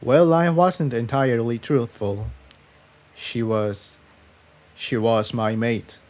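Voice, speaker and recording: A man voicing an earnest, troubled male pony adventurer in a quiet, serious way for a storybook reading. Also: mid-range voice, steady tone, takes it slowly, close to an everyday voice. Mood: troubled confession.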